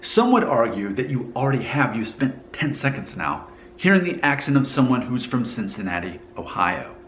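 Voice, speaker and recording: A man of middle pitch talks in a relaxed, conversational way, his neutral American accent carrying only the faintest Southern trace. He muses aloud and turns questions back to the listener. The room is echoey, with a faint hum of background ambience. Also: moderate pace. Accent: mostly neutral American with a faint Southern hint. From Alabama, USA. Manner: conversational, musing, asks the viewer questions. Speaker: vlogger. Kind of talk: casual talk to camera.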